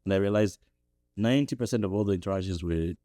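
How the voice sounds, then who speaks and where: A man's voice: quick pace, dry recording, clean studio sound. A young man from Zambia, a podcast guest, reflecting thoughtfully with frequent restarts. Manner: thoughtful, many restarts, rises in pitch when animated. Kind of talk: reflective interview talk. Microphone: studio podcast mic.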